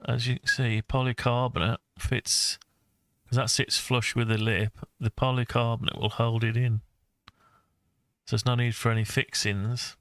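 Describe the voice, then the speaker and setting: A man's voice: medium pitch, flat delivery, normal speed, a bit bright, no room sound, clean studio sound. A man giving a casual design walkthrough, thinking aloud in a hesitant, musing way as he talks through his ideas. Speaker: presenter of a hobby design project video.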